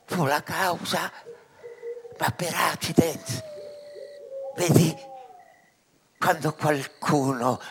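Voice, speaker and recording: An actor playing a tormented demon servant of the devil, brooding and bitter, in theatrical radio drama verse. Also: male voice, mid-range voice, fairly bright tone, slight background noise.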